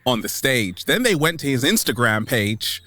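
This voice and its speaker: Animated, bright-voiced man with theatrical delivery. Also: medium pitch, dry recording, faint music behind. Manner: theatrical, repeats catchphrases, dramatic pauses, exclaims. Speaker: vlog host. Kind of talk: animated comedic monologue to camera.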